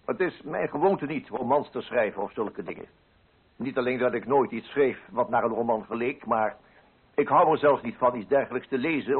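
A man's voice: mid-range voice, husky, natural voice. A man playing a pompous, fussy, middle-aged coffee broker with a Netherlands Dutch accent, in a dramatized radio monologue. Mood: smug, fussy. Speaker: narrating lead character. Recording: muffled, band-limited radio drama recording.

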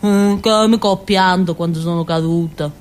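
A woman's voice: on the low side, steady tone, normal speed, natural voice. An actress playing a naive, simple-minded village woman in a theatrical monologue, rambling and childlike with a southern Italian, Calabrian accent. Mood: wistful, insistent. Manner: rambling, childlike, with muttered asides and little interjections. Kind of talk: theatrical monologue in a radio drama.

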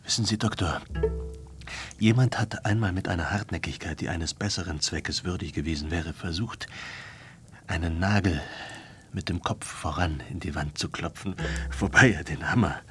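An actor playing a sleepless, eccentric, brooding artist in a radio play, musing and halting as he tells his stories. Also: sits low, fairly bright tone, soft background music. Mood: wry, musing. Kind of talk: German radio play acting.

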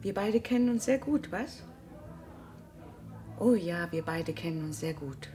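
A woman's voice: lowish voice, expressive, unhurried, mellow, soft background music. An actress playing a scornful, bitter wife and mother in a German radio drama, speaking in a cutting, accusing way. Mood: bitter, weary. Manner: accusing, cutting, emphatic repetition.

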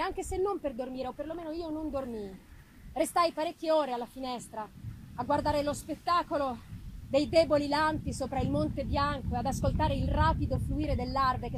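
A woman reading a gothic novel aloud as dramatic narration, with solemn, theatrical, deliberate phrasing. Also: sits high. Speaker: literary reader. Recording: noisy live performance recording. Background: background noise and ambience of live performance.

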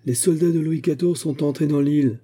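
A shrewd bishop who was once a musketeer, acted out by a male narrator. He speaks solemnly and with measured authority. The voice is very dark and husky, as in a storybook adventure. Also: a little high, pitched above the performer's own voice. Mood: solemn, commanding.

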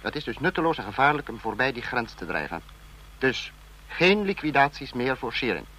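An actor playing a cold, authoritarian state leader in an old radio play, speaking in a clipped, lecturing, imperious manner. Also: male voice, medium pitch, sing-song, fairly quick, sharp tone. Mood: coldly reasoning. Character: cold authoritarian male supreme leader of a fictional police state.

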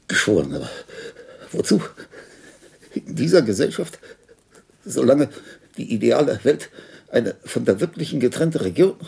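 A lonely, dying old man, once a celebrated composer, in a radio drama. His voice is very husky and breathy, crackling with fry. He speaks haltingly and theatrically, bitter and commanding, with wide swings of pitch. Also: darkish tone, no room sound, full character voice. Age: older. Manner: halting, theatrical, swinging between muttering and outbursts. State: weak, shivering with cold. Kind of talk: German radio drama monologue.

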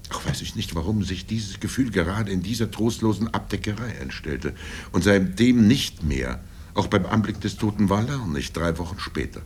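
An older man reading literary first-person prose in a grave, deliberate manner with dramatic pauses. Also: expressive, darkish tone, breathy edge. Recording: low rumble and background noise.

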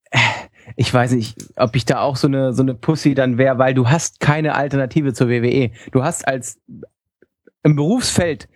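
A man's voice: mid-range voice, no room sound, clean studio sound. A man co-hosting a podcast, bantering casually in cheeky, slangy language. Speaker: podcast co-host. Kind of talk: casual banter about pro wrestling.

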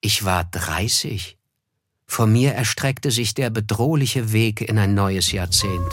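An actor playing a reflective, melancholy narrator in a radio play, speaking in measured literary narration. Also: male voice, lowish voice, no echo. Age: 30s. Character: reflective, melancholy thirty-year-old narrator.

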